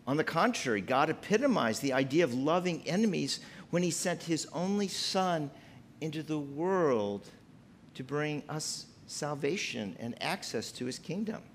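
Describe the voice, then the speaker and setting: A man's voice: animated, a little slow, slight background noise. A man in his fifties preaching a sermon from a church pulpit, emphatic and gesturing as he speaks. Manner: preaching with wide pitch swings, emphatic and gesturing. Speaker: pastor. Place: church sanctuary at a pulpit, indoors.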